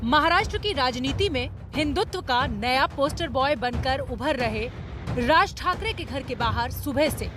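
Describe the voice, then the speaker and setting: A woman's voice: sits high, expressive, a little slow. A woman reporter reading a scripted news narration in Hindi news style. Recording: loud music bed behind voice-over. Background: news music.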